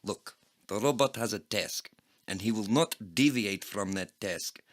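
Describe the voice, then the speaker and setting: A man's voice: lowish voice, a little slow. A man voicing a surly Eastern European computer programmer in an audio play, blunt and formally worded. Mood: gruff, dismissive. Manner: blunt, unfriendly, formal wording. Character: surly Eastern European male programmer and flea-market trader.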